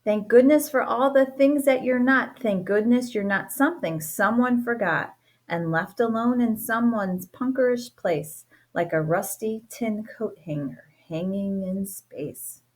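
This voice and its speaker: A teacher reading a rhyming children's book aloud, playful and expressive, with a sing-song lilt. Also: female voice, on the high side, unhurried. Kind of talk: storybook read-aloud.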